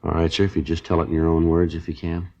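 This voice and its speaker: Very deep and quick, a male police detective with a clipped, deadpan delivery. It is old radio crime drama on a dull vintage recording. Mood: patient, businesslike. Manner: deadpan, clipped, matter-of-fact. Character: terse, businesslike male police detective sergeant narrating.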